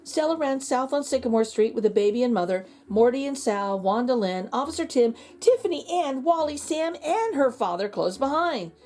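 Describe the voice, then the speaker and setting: Female read-aloud narrator for kids: highish voice, animated, emphatic, soft music behind. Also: normal speed, hardly any pauses. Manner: expressive, emphatic. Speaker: children's book reader.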